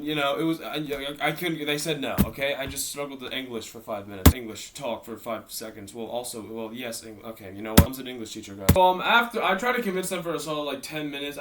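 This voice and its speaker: A man's voice: mid-range voice, a bit bright, far from the mic, a little hiss or hum. A teenage boy from Maryland with a worn-out, tired voice, rambling through a casual storytime vlog with self-mocking asides. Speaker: YouTuber.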